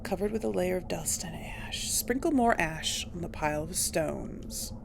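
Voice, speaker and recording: A woman gives casual game commentary at an unhurried pace, musing to herself as she goes. Her voice has a frequent creaky edge, and there is some noise behind her. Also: fairly low pitch, lively intonation. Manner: thinking aloud, musing to herself. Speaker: host of a game playthrough series.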